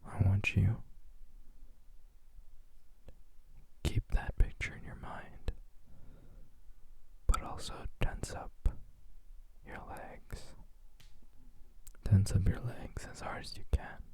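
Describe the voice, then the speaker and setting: Controlling male doctor voiced by a man, ASMR roleplay: soothing, dominant, very slow, mellow breathy whisper. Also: low voice, level tone, full character voice. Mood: calm, instructing. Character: possessive yandere male doctor, gentle but controlling hypnotist.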